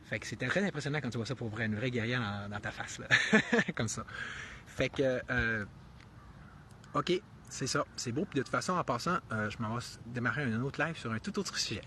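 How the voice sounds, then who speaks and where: A man's voice: mid-range voice, normal speed, pushed and bright, a little hiss or hum. A man with a Québécois accent giving unscripted live commentary, rambling and thinking aloud with many restarts and fillers, earnest and given to emphatic rhetorical questions. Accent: Québécois French. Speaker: live streamer.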